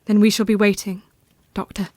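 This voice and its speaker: A woman's voice: medium pitch, level tone, fairly quick, a little mellow, dry recording, close to an everyday voice. A woman playing a frank, troubled but resolute Victorian wife in an audio drama, speaking formally and earnestly.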